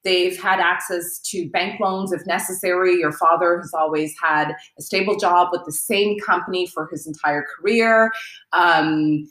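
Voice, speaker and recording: A woman's voice: on the low side, steady tone, moderate pace, a bit bright. A woman talking casually and at length in a conversation, with lots of fillers and restarts. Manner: talkative, many fillers and restarts. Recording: roomy echo.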